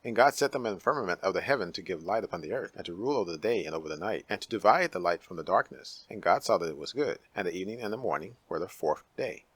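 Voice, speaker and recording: A low-voiced man with a husky edge narrates scripture dramatically. His delivery is solemn and declamatory, recorded close and dry. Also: animated, fast talker, hardly any pauses, no echo.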